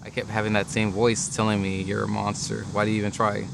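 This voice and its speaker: A man's voice: on the low side, completely flat delivery, quick pace, gravelly. A man telling his personal story earnestly and with feeling. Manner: earnest, emotional. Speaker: interviewee. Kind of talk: personal testimony. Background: music.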